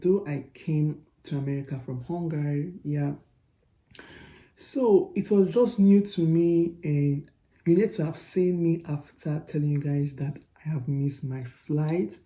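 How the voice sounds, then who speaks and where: A young Nigerian woman with a Nigerian accent, telling a story to her vlog viewers in a chatty, animated way. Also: sits low, echoey room. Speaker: vlogger. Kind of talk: storytelling to camera.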